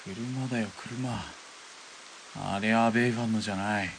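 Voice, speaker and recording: Man acting a low-voiced, wry young spotter. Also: fairly even intonation, slight background noise, natural voice, low-quality recording. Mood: exasperated. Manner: rough, questioning, quick to retort. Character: wry, level-headed young army spotter turned killer's partner. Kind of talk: Japanese audio drama acting.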